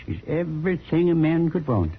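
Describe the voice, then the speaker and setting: Earnest homesteader, tinny radio sound. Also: male voice, fairly high pitch, big pitch swings, moderate pace, breathy edge, exaggerated voice. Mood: tender, earnest. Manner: plain country speech, earnest. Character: earnest, devoted widowed homesteader, protective husband. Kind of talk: 1950s radio western acting. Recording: tinny, hissy old radio recording.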